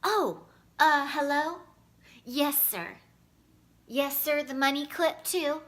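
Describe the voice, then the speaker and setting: A woman voicing an earnest, excitable young schoolboy in a children's storybook. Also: sits high, slow pace, voice pushed up. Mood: polite, flustered.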